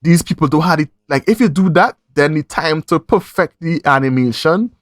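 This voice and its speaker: Emphatic Trinidadian man, slightly high voice. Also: normal speed, dry recording, clean studio sound. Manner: animated, emphatic, repeats phrases for effect. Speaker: podcast host. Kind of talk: casual review chat.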